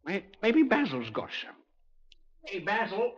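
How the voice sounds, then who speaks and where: Rough crook, echoing and muffled. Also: male voice, high voice, wildly swooping pitch, a little slow, full character voice. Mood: menacing. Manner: rough working-class slang speech with bad grammar. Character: desperate, violent London criminals plotting a robbery. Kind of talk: 1950s radio drama acting. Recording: muffled old radio recording, long reverb.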